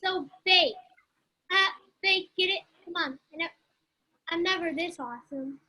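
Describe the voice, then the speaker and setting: A woman acts out a young sitcom woman who is high and spoiling for an argument. Her voice is very high and piercingly bright. She rambles, stumbles and congratulates herself as she goes. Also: unhurried, heavily acted. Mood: combative, rambling. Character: Britta, high, combative young woman arguing with her friends. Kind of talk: sitcom script table read.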